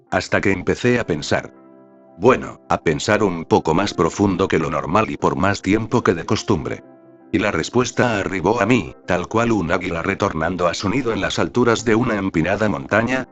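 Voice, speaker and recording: A man hosting his own channel, reading a first-person essay aloud with formal, deliberate, literary phrasing. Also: sits low, muffled recording. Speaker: channel host and author.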